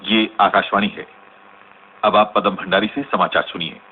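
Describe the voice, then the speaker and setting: An actor playing a sober radio news announcer, reading formally and in a measured way within a radio drama. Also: male voice, fairly low pitch, fairly even intonation, natural voice. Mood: grave, formal. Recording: tinny band-limited radio broadcast, faint noise.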